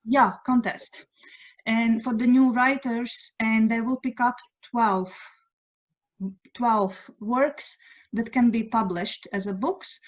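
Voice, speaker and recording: A middle-aged Latvian woman whose first language is Latvian, talking casually on a video call from her home at night, pausing often to search for English words. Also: a little high, fairly even intonation. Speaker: guest writer sharing news. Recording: dull lo-fi video call audio.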